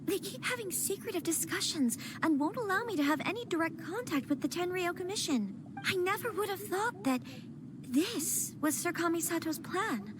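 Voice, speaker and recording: A soft-spoken, torn noblewoman. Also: very high voice, lively intonation, normal speed, background noise. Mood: worried. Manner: soft-spoken, earnest, hesitant. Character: gentle, troubled young noblewoman. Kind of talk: game voice acting. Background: game music.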